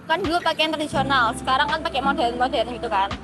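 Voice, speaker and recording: A woman's voice: sits high, fast, over music. A teenage young woman, doing an informal street interview as a student. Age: teens.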